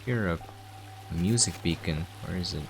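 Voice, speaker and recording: A man's voice: low voice, moderate pace, vocal fry, rough recording. A man hosting a game preview with casual, off-the-cuff gameplay commentary. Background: game music.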